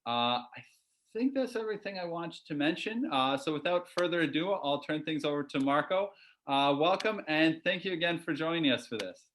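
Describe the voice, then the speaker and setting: A man's voice: a little high, lively intonation, moderate pace, a bit bright, slight background noise. A man hosting an online session, introducing a guest and explaining how to ask questions in the chat, with frequent hesitations. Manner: hesitant, lots of fillers. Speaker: host. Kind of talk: introducing a guest on a video call.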